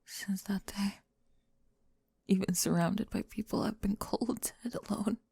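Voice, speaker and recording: A woman playing a remorseful, vulnerable young woman in an intimate emotional ASMR roleplay, speaking haltingly and tenderly in a breathy, often whispered voice, close to the mic. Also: mid-range voice, mellow, dry recording, exaggerated voice. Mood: vulnerable, hushed. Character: remorseful, vulnerable young woman confessing to someone she rejected. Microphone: close, dry microphone.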